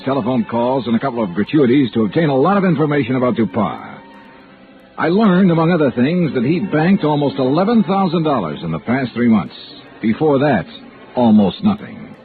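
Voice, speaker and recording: An actor playing a shrewd, persistent insurance investigator in an old-time radio detective drama, with a clipped, dry, probing delivery. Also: male voice, medium pitch. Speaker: radio detective lead and narrator. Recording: muffled vintage radio recording, music behind.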